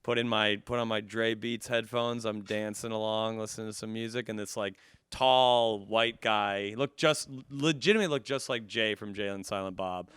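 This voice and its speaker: Casting: American male comedian, thirties, crisp and bright, casual joking storyteller who swears freely. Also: lowish voice, steady tone, moderate pace, no echo. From suburban United States. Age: 30s. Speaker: podcast guest, comedian. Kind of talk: casual comic storytelling and banter.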